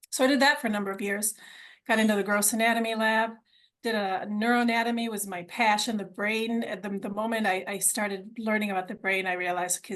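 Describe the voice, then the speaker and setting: A woman's voice: mid-range voice, fairly bright tone. A middle-aged woman, originally from Argentina, talks about her path as a guest on a podcast. She is eager and conversational, repeating words as she restarts her sentences, with an ordinary mid-height voice. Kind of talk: conversational interview storytelling.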